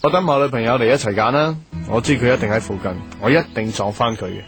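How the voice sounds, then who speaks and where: An actor playing a lovelorn, hopeful young student in a radio drama, earnest and wistful. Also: male voice, medium pitch, fast, vocal fry, natural voice. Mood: stubborn, hopeful. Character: lovelorn, hopeful young male student waiting for his girlfriend. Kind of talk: Cantonese radio drama acting and first-person narration. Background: music.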